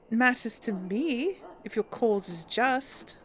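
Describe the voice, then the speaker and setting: A woman playing a video game, chatting casually and laughing often, sometimes speaking as her character. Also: fairly high pitch. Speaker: game streamer. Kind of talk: casual let's-play commentary with in-character lines.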